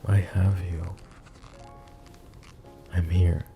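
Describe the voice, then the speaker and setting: Possessive mafia boss, deep and gravelly. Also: male voice, drawn-out words, music in the background, full character voice. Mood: menacing. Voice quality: low, soft, close-mic murmur with whispers. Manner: calm, controlled, coolly threatening and doting. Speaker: voice actor. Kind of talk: roleplay ASMR audio drama. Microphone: close ASMR microphone.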